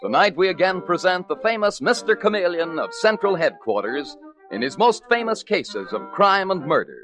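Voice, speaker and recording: Casting: male narrator for old-time radio mystery, lively intonation, clear music bed underneath. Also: high-pitched, normal speed. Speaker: announcer and narrator. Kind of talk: 1940s radio scripted narration.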